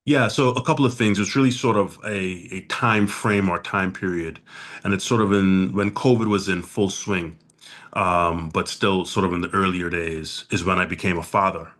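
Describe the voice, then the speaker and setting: A very deep, low man's voice from the Bahamas, speaking in an even, steady tone that barely rises or falls. He talks in a measured, reflective way, often pausing to find his words as a podcast guest. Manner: measured, thoughtful, with frequent "uh" pauses.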